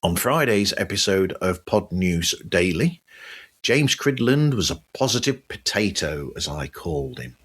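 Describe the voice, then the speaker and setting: Low-pitched man hosting a podcast. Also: big pitch swings, measured pace, a bit bright, slightly rough. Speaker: podcast host. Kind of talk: scripted podcast news narration.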